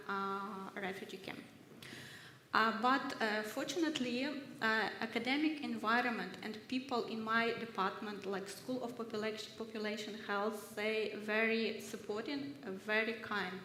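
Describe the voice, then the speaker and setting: A woman's voice: on the high side, bright, forceful tone, slight background noise. Hesitant woman in an echoey hall. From Ukraine. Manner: hesitant, halting, many fillers. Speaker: research fellow speaking on a panel. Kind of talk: workshop panel talk. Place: university ceremonial hall. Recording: echoey hall with long reverb.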